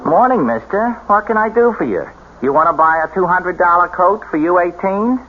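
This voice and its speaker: Casting: chatty shop salesman, animated high voice, tinny old radio broadcast. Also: normal speed, over music. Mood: eager. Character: chatty, eager male clothing salesman. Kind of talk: radio drama acting.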